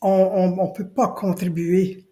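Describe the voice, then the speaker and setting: A woman's voice: lowish voice, steady tone, slow pace, warm tone. A woman from New Brunswick, speaking earnestly as a podcast guest and repeating her key points for emphasis. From Acadia, New Brunswick. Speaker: guest, citizen committee spokesperson. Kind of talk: podcast interview.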